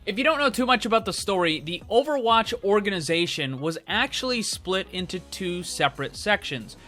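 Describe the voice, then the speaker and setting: High-pitched, bright-voiced man hosting gaming commentary. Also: expressive, normal speed. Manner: conversational, explanatory, addresses viewers directly. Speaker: video game news host. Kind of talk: casual commentary and speculation for viewers. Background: background music.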